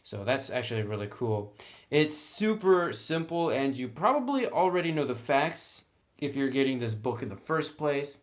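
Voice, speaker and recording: A man's voice: medium pitch, very animated, normal speed. A man giving a casual, conversational review narration as a reviewer of tabletop game books.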